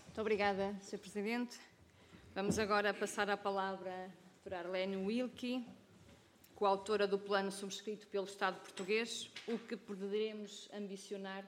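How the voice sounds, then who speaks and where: Fast, flat-voiced woman moderator. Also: mid-range voice, a little hiss or hum. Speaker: session moderator.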